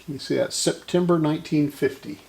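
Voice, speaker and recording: A man with a gravelly voice casually narrating his hands-on repair work as host, musing and thinking aloud as he goes. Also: on the high side, steady tone, fairly quick, background noise. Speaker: host of a vintage radio repair video.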